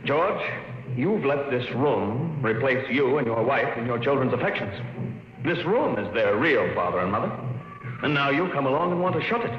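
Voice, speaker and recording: A middle-aged actor playing a calm, blunt family psychologist in a 1950s radio drama, measured and authoritative. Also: male voice, medium pitch, soft background music, natural voice. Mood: urgent. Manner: measured and authoritative, growing urgent. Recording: muffled 1950s broadcast with long reverb.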